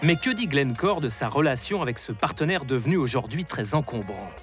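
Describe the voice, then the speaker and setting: A man's voice: medium pitch, animated, a little fast, a bit bright, faint music behind. A man narrating an investigative documentary in a scripted, wry voice-over. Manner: wry, ironic.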